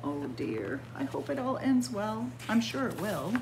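Lively older woman reading a rhyme. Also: medium pitch, measured pace, noisy background. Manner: sing-song chanting with playful asides. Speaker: children's librarian. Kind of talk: picture book read-aloud. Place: library with bookshelves. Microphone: webcam at close range.

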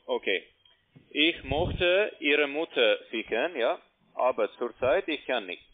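A man's voice: medium pitch, expressive, slow. A man posing as tech support, a native English speaker speaking broken German with faulty word order, pushing instructions. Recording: tinny phone line.

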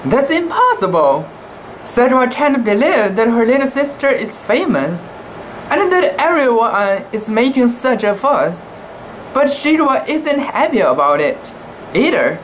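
A young man with a high, androgynous pitch reads a storybook dramatically. He goes slowly and expressively, swinging up and down, often cooing. It is recorded outdoors on a camera with steady noise behind him. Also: poor audio. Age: young adult. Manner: expressive, cooing, drawn-out words. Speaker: storybook reader. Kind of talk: dramatic children's book reading with asides. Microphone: camera at close range.

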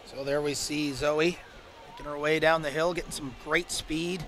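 A man with a bright, forceful voice pitched a little high chips in as second commentator. His remarks are short and excited as he brings in each athlete and reacts to the jumps. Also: moderate pace. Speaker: colour commentator. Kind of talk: live sports commentary.

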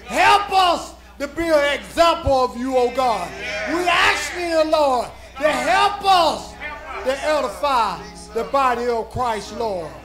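A man with a very high voice leads a congregation in prayer, his words slow and drawn out. He is impassioned and often shouts, punctuating phrase after phrase with calls to the Lord. Music plays clearly behind him in the church. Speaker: prayer leader. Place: church service. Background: music playing.

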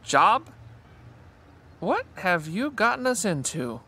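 An actor playing a fussy, incredulous sci-fi fan in a comedic audio drama, pedantic and exasperated. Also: male voice, sits high, big pitch swings, takes it slowly, bright, forceful tone, slightly rough, exaggerated voice.

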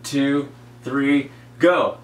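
A man's voice: mid-range voice, fairly even intonation, very slow. A young man hosting a comedy web show, upbeat and leading the group with frequent laughs, recorded in an indoor studio. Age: young adult. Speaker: show host. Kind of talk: comedy sketch banter. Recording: echoey room, faint noise.

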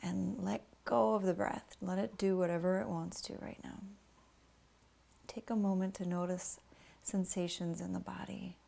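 A woman instructor guiding a slow breathing meditation, often dropping to a soft whisper as she coaches. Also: lowish voice, flat delivery, creaky.

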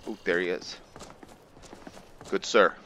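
A man giving casual video game commentary as a roleplay host, halting as he thinks aloud. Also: deep voice, fairly even intonation, sharp tone, a little hiss or hum. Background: game music.

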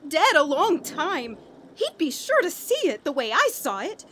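An actress playing a frantic, haunted woman on the edge of madness in a horror radio play, halting and overwrought. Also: high voice, very animated, slight background noise. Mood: horrified, brooding. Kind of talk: 1940s radio horror acting, dramatic monologue.

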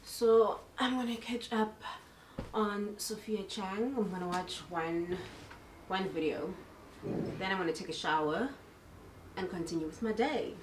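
A woman's voice: medium pitch, unhurried. A young South African woman chatting casually to the camera as a lifestyle vlogger at home. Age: young adult. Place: indoors at home, kitchen and bedroom. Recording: roomy echo, clear background noise.

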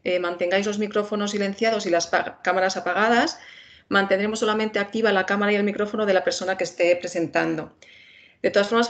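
A woman with a Spain Spanish accent moderating an online webinar in a friendly manner, with many filler sounds. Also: mid-range voice. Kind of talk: webinar introduction.

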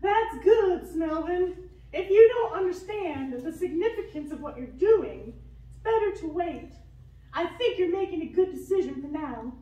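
A woman's voice: extremely high pitch, animated, normal speed, warm tone. A woman voicing a cheery, encouraging puppet Sunday-school teacher with a singsong delivery. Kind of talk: children's church puppet show. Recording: echoey room, distant mic.

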